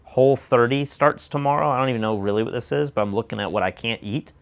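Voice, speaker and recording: An American man co-hosting a casual discussion show, speaking emphatically with a slightly raspy voice and throwing out rhetorical questions. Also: mid-range voice, fast. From United States. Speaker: show co-host.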